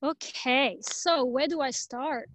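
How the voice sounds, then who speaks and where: High-voiced French woman speaking reflectively. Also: slow pace, fairly bright tone, dry recording. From Normandy, France. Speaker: guest speaker. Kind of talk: personal autobiographical talk. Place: online video conference.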